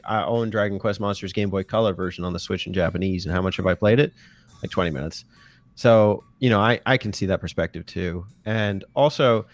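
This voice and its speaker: A man co-hosting a gaming podcast in casual chat, jokey and teasing. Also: fairly low pitch. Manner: jokey, teasing, mock-preacher bits. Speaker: podcast co-host.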